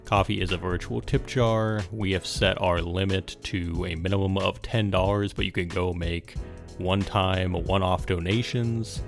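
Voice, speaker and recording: A man with a low voice hosts a podcast in a casual, conversational style. Faint music plays behind him as he talks. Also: fairly even intonation, moderate pace, gravelly. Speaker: podcast host. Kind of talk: casual podcast interview and listener appeal.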